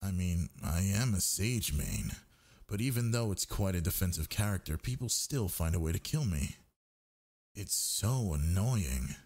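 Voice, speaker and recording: Man acting low, husky, needy boyfriend. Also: animated, darkish tone, full character voice. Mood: relaxed, reassuring. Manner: coaxing, murmuring, drawn-out words. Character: sleepy, needy, teasing gamer boyfriend, young man. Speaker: audio roleplay performer. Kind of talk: intimate boyfriend audio roleplay.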